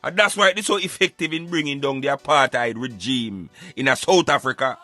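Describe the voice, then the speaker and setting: Bright-voiced Jamaican man hosting radio. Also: mid-range voice, a little fast, faint music behind. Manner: animated, emphatic, repeatedly addressing listeners as brethren. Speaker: radio show host. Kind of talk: casual opinionated radio monologue with plugs.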